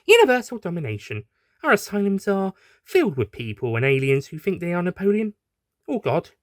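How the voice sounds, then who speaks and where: A man's voice: high-pitched, wildly swooping pitch, fairly quick, dry recording, clean studio sound, pitched above the performer's own voice. A man voicing a cheerful, sarcastic, hyperactive young female alien time traveller who rambles teasingly in an audio story. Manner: bubbly, rambling, slangy and teasing.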